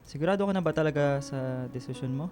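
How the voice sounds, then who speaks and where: A man's voice: fairly high pitch, fast talker, noisy background, close to an everyday voice. A man playing an older boyfriend in a radio drama, hesitant then insistent about marriage, with a Filipino accent. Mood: doubtful, hurt. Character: older boyfriend, insistent, eager to marry and start family.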